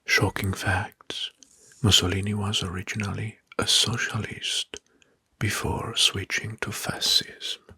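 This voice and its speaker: Guy doing asmr narration, slow breathy whisper, super close and dry mic. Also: on the low side, flat delivery, dry recording. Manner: slow, deliberate, repeats words softly. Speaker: host of ASMR history video.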